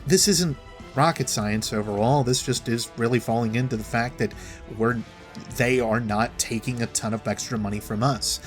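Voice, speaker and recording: Male gaming commentator, medium pitch, wordy and opinionated, semi-improvised monologue over faint music. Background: background music.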